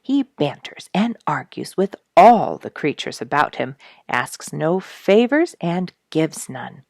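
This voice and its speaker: Low-voiced woman storyteller with a creaky edge. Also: sing-song, slow, darkish tone, dry recording, clean studio sound. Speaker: story reader and show host. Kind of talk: expressive scripted storybook narration.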